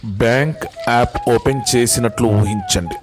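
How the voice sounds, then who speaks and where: A man leading a guided meditation in a calm, deliberate way, instructing and repeating phrases with long pauses. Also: medium pitch. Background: music bed.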